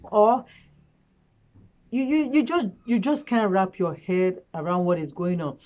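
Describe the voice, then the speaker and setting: A woman giving relationship advice in a casual, earnest vlog chat, her voice hoarse from a cold. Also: mid-range voice, a little slow, dark tone, lo-fi sound. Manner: chatty, earnest, frequent fillers. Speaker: relationship vlogger. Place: at home late at night.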